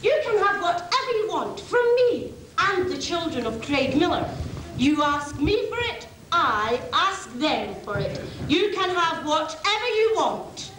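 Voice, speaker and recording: A woman's voice: extremely high pitch, sing-song, a little slow, a little hiss or hum, full character voice. A woman playing a wicked, imperious Queen and mother in an amateur community pantomime, declaiming to the audience. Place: on stage in a high school hall. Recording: echoey school hall stage, loud audience, distant mic.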